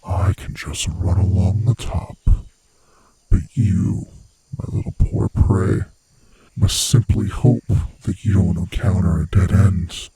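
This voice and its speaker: A man voicing a sinister, gloating monster who hunts human prey, with a husky, rasping voice and a slow, taunting manner, in a horror ASMR roleplay. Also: fairly even intonation, warm tone, slight background noise, exaggerated voice, poor audio. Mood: gleeful, taunting. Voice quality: husky, breathy, rasping low voice. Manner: slow, menacing, theatrically taunting.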